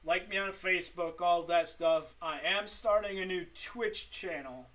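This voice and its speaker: A man in his forties giving informal tips to camera in a halting, improvised way from a home room. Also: high voice, fairly bright tone, distant mic. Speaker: fishing tips presenter. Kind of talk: informal tutorial talk to camera. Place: small home streaming room, indoors.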